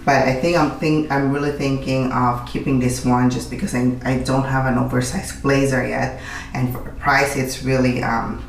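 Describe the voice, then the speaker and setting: A woman vlogger, raspy from a recent illness, chatting through a clothing haul with many ums and reading out product details. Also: rumbling low, flat delivery, moderate pace, low-quality recording. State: raspy after being sick. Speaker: fashion vlogger. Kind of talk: casual try-on haul chat.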